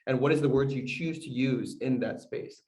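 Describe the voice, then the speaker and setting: A man's voice: mid-range voice, normal speed, a little mellow. A man whose first language is Korean, a community organizer giving a talk to an audience on a video call, speaking conversationally, asking questions, with frequent fillers. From United States.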